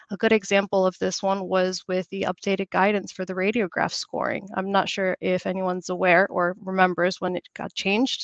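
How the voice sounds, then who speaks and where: A woman, slightly hoarse from a cold, presenting a webinar over a video call in a chatty, upbeat way. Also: medium pitch, no room sound. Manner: chatty, upbeat, frequent "you know". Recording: dry, slightly dull video call audio.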